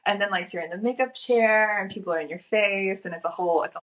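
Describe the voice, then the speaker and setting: A young woman from North Carolina, a guest chatting casually, with a slightly scratchy, raspy throat from a cold and a chatty way of talking full of "like". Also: medium pitch, fast talker, fairly bright tone. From North Carolina, USA. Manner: chatty, frequent "like" filler. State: getting over a cold. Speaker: fashion model guest. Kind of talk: casual chat.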